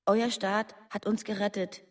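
A woman's voice: medium pitch, level tone, a little slow, lightly acted. Actress as a guarded, earnest young woman. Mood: earnest, confessional. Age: young adult. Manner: earnest, confessional. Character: guarded young woman, former West German terrorist. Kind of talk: radio drama acting.

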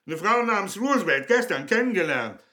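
High-pitched excitable drunk. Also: male voice, brisk, heavily acted. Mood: tipsy, rambling. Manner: emphatic, rambling, clipped exclamations. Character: excitable, drunken man marvelling like a child. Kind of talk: German radio drama acting.

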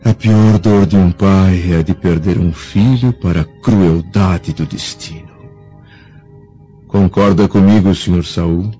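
An actor playing a dignified Roman senator and father, speaking in a formal, measured radio drama manner. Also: sits low, warm tone. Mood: wistful, grieving. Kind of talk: theatrical radio drama acting. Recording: muffled lo-fi radio drama with music.

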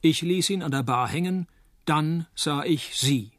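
An actor playing a hard-boiled, laconic private detective, delivering deadpan noir radio play narration and dry wit. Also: male voice, a little high, a little slow, dry recording. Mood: dry, wry. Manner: deadpan, wry.

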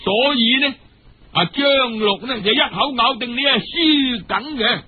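A man puts on a flattering, scheming Cantonese friend in an audio story. He cajoles colloquially in a high, husky voice on a dull, lo-fi recording. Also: unhurried, heavily acted. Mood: cajoling.

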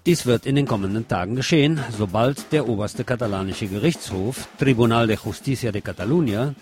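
A man's voice: medium pitch, fairly quick. Male radio news presenter over music. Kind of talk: scripted news reading with informal asides.

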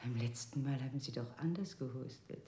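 A woman's voice: a bass voice, a little fast, dark tone, reverberant, a little hiss or hum. An actress playing a female interrogator in a radio play, politely menacing, swinging between soothing and accusing. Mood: cold, polite.

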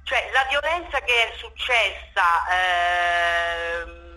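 A woman's voice: lowish voice, steady tone, very slow, bright, forceful tone, soft background music, poor audio. A woman speaking spontaneously and emphatically as an interview guest, repeating words and tagging phrases with "no?". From Marche, Italy. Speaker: theatre director, interview guest.

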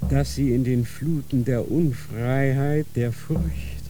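A man acting a dying old Grand Inquisitor in a radio drama, dictating slowly and solemnly in a feverish state. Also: mid-range voice, mellow, slight background noise, close to an everyday voice. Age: older. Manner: slow, solemn dictating with sudden feverish outbursts.

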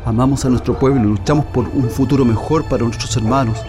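An idealistic young revolutionary in a radio play acted by a man. His voice is mid-pitched and earnest, speaking in declarations over prominent music. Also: steady tone, fast, close to an everyday voice. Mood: earnest, affectionate. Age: young adult. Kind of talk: radio drama acting.

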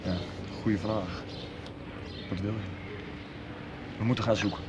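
Actor as sober male searcher, low voice, questioning, matter-of-fact, radio soap, noisy ambience. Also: steady tone, unhurried, natural voice. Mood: sober, cautious. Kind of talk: 1990s radio drama acting. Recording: clearly noisy background ambience.

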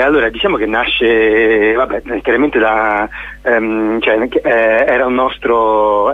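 Man, husky voice, halting speech full of fillers, heard over a tinny phone line. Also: fairly low pitch, dry recording. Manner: hesitant, many fillers and restarts. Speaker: theatre artist, interview guest. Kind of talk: radio phone interview.

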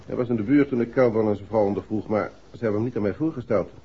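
An actor playing a polite, inquisitive police inspector in a 1960s radio play, speaking formally and measuredly. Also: male voice, lowish voice, very fast, husky. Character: polite, probing male police inspector on a murder case. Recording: muffled 1960s radio recording.

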